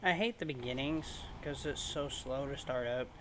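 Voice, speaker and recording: A man hosts a gaming video with casual, off-the-cuff commentary. His voice sits at an average pitch and his phrasing is a bit halting. There is faint noise in the home recording. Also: a bit bright, low-quality recording. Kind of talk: casual let's-play commentary.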